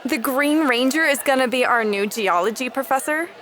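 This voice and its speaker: A woman's voice: high-pitched, moderate pace, fairly bright tone. A woman playing a wry, lightly patronizing female student in casual audio drama dialogue. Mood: wry, skeptical.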